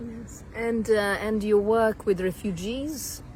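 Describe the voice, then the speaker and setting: A woman podcast host who asks her questions slowly and hesitantly, with many fillers. A faint background noise sits under her voice. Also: medium pitch, fairly even intonation, darkish tone. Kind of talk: interviewing a guest.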